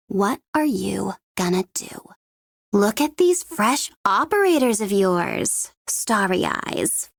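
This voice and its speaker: A woman's voice: on the high side, no room sound, studio quality. A woman playing a sardonic young female mercenary in a video game, mocking and teasing with an undercurrent of menace. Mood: bitter, challenging. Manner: mocking, teasing, drawling with sudden swoops and veiled threats. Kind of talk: English-language game character voice lines.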